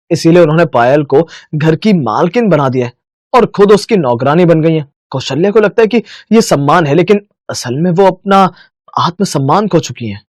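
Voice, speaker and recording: A man playing a calm, knowing young husband who explains patiently in a scripted moral story. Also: a little high, dry recording, clean studio sound. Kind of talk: scripted cartoon story dialogue.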